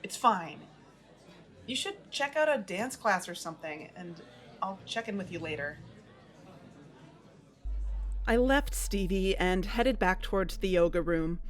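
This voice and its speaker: A woman's voice: mid-range voice, natural voice. An actress playing an uneasy, watchful woman investigator who tells her story in a scripted audio drama. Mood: hesitant, probing. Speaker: narrator and lead character of an audio drama. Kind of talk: scripted first-person narration and dialogue.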